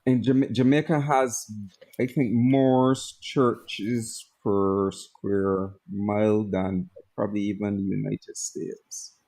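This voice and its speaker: Slow-talking Barbadian man. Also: fairly low pitch, little pitch movement, a little mellow. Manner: easygoing, laughs often, reads out viewer comments. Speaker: co-host of a skeptic livestream. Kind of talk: casual livestream chat.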